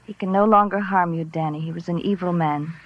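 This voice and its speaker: A woman's voice: fairly low pitch, fairly quick, no room sound, close to an everyday voice. An actress playing an obsessed, murderous woman professor in a 1940s radio drama, dramatic and intense. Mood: soft, intense. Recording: dull vintage radio recording.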